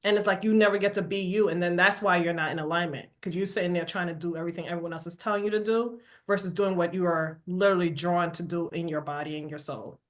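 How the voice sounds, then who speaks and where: A woman's voice: lowish voice, quick pace, few pauses. A woman from Jamaica with a New York accent, a guest on a podcast, talking casually and animatedly, acting out quotes and tagging lines with "right?". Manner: animated storyteller, says "right?" constantly, acts out quotes. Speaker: podcast guest, burnout coach. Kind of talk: casual conversational interview.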